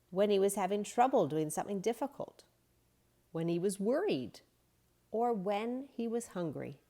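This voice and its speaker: A woman reading a children's story aloud in a gentle, expressive, unhurried way. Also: mid-range voice, vocal fry. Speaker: storybook reader.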